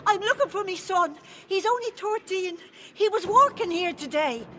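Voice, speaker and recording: A woman's voice: very high-pitched, expressive, moderate pace, fairly bright tone, slight background noise. A woman playing an anxious Dublin mother in a radio drama, worried and exclamatory. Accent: Dublin Irish. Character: anxious mother of a thirteen-year-old boy.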